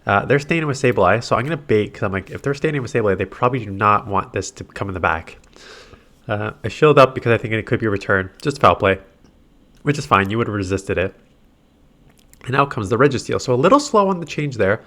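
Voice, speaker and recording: A man's voice: lowish voice, expressive, a little fast, a bit bright. A nasal, congested man giving casual, chatty play-by-play commentary on his own gameplay, thinking aloud with lots of fillers. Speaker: gaming commentator. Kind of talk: casual play-by-play commentary over game footage.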